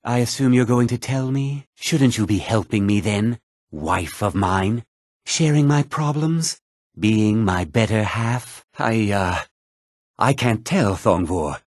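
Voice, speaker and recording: Husky, animated fantasy townsman, haughty. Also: fairly low pitch, takes it slowly, no echo, studio quality. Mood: wry, put-upon. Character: male fantasy townsman, haughty, put-upon, irritable and sardonic. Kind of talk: video game character dialogue.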